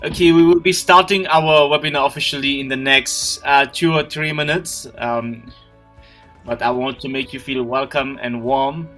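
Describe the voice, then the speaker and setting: A man's voice: mid-range voice, steady tone, moderate pace, fairly bright tone, faint music behind. A man hosting an online business webinar over a video call, greeting attendees by name in a friendly way. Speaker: webinar host.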